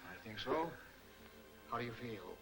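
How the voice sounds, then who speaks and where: A man playing a soft-spoken criminal mastermind professor in a 1940s movie serial, measured, formal and polite. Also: mid-range voice, expressive, close to an everyday voice, low-quality recording. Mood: calm, courteous. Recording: old 1940s film soundtrack with music.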